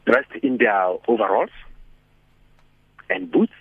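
A man with a raspy voice, a radio guest giving animated, persuasive motivational talk by phone. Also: mid-range voice, takes it slowly, dry recording. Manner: animated, persuasive, asks rhetorical questions. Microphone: telephone. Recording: tinny dry phone line.

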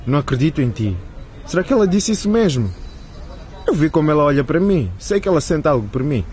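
A man's voice: on the high side, big pitch swings, fast talker, darkish tone. A man playing an awkward, lovestruck young suitor in a radio drama, hesitant and defensive. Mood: defensive, earnest.

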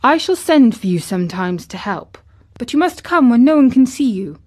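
Woman putting on an imperious young boy, raised pitch. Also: sing-song, moderate pace, a little mellow. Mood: lordly, commanding. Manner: condescending, decisive. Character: imperious young boy, lordly, commanding, eager.

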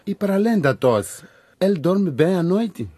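Calm, husky, lively-pitched doctor. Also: male voice, normal speed, dry recording, heavily acted. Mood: concerned. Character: calm, concerned male hospital doctor. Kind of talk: radio drama acting.

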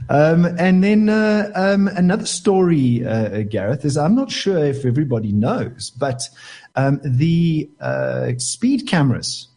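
A man with a mellow, slightly husky voice, talking in a relaxed, halting way with lots of ums and uhs. He sounds like a regular tech contributor on a casual radio chat. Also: fairly high pitch. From South Africa. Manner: relaxed, hesitant, many fillers.

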